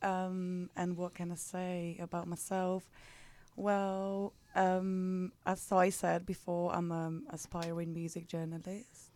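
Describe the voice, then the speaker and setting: A woman's voice: fairly low pitch, completely flat delivery, slow pace, a little mellow. A young woman from England with a Birmingham accent, Polish by birth, a nervous first-time radio guest. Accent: Birmingham English. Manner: nervous, hesitant. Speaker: guest co-host, aspiring music journalist. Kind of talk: casual radio chat. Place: radio studio.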